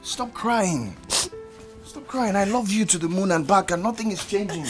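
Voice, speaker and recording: A man's voice: high-pitched, normal speed, faint music behind, natural voice. A Nigerian man acting a proud, loyal young man of little means, earnest with his sweetheart. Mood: soothing, tender. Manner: earnest, pleading. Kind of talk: screen drama acting.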